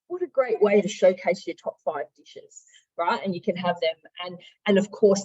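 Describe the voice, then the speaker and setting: Husky-voiced woman leading a training demo. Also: mid-range voice, a little mellow, highs cut off. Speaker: software trainer, marketing director. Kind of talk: informal instructional training demo.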